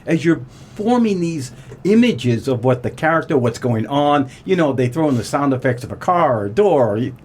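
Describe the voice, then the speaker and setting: A man's voice: mid-range voice, sing-song, normal speed, darkish tone, a little hiss or hum. A man hosting a radio show in a casual, conversational way. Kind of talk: casual chat.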